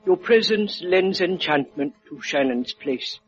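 A man playing a flattering Chinese gentleman with ornate, obsequious speech in a 1950s radio drama. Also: high voice, measured pace. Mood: fawning. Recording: dull old radio recording with music.

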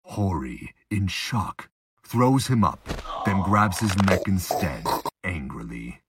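A man with a husky voice reading scripted narration for an audio drama. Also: deep voice, sing-song, takes it slowly, heavy background noise.

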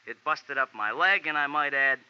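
A man's voice: a little high, animated, normal speed, sharp tone, clean tone. An actor playing a small, touchy male ex-jockey with pompous, wordy, stiffly formal street talk in a 1940s radio drama. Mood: earnest pleading. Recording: dull, hissy 1940s radio recording.

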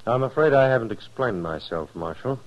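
An actor playing a polite, proud gentleman gambler in a 1950s radio western, speaking formally and precisely. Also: medium pitch, expressive, brisk, muffled recording, lightly acted. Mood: confident, courteous. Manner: formal, courteous, precise diction.

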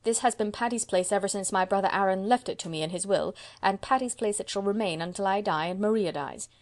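A woman's voice: medium pitch, quick pace, natural voice. A woman voicing a proud, decisive seventy-year-old spinster who owns a house and speaks with emphasis. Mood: emphatic. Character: brisk, proud elderly spinster landlady, 70.

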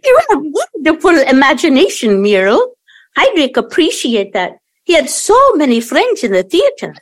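High, very animated, earnest younger daughter, played by a woman. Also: normal speed. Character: conciliatory younger daughter, earnest, quick to bristle. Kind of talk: audio play acting.

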